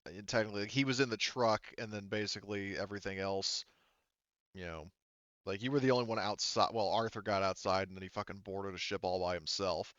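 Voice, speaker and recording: A man's voice: lowish voice, fairly even intonation, brisk, a bit bright, dry recording, muffled recording. A man acting as game master in a casual tabletop game debrief, talking loosely and swearing freely.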